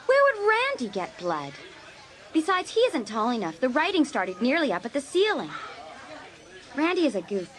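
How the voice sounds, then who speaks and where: A woman's voice: sits high, wildly swooping pitch, normal speed, some chatter behind, slight background noise. A woman playing a resentful, indignant teenage girl in a dramatised audiobook. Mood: upset, indignant.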